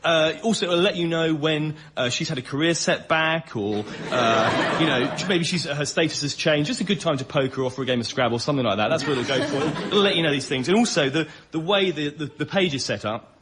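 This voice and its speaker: A man performing as a comedy panel guest, pitching comic ideas in a matter-of-fact, conversational way. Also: brisk, hardly any pauses. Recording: very noisy live show recording.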